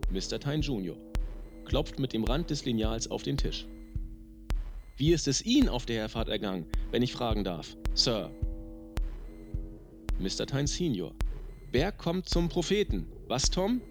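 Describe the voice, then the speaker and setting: A man's voice: mid-range voice, sing-song, unhurried, long pauses. A man reading a novel aloud, voicing the characters in dry, clipped narration. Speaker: audiobook reader. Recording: music loud underneath.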